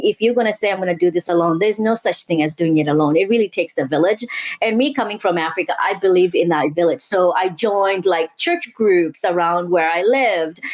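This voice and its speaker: Reflective woman. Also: on the low side. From Mozambique. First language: Portuguese. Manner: earnest, reflective, frequent restarts and "you know". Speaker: guest. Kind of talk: candid interview conversation.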